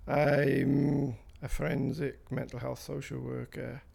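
A man's voice: medium pitch, level tone, takes it slowly, creaky, darkish tone, slightly rough. A man in his fifties with a South Wales Valleys accent, answering interview questions in a hesitant, rambling way with fillers and restarts. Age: 50s. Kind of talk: recorded interview.

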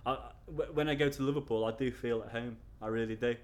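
Man, Scouse accent, middle-aged, mid-pitched voice, rambling and chatty, lots of fillers and restarts. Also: fairly even intonation, quick pace, darkish tone. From North Wales. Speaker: interviewee. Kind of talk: casual interview chat.

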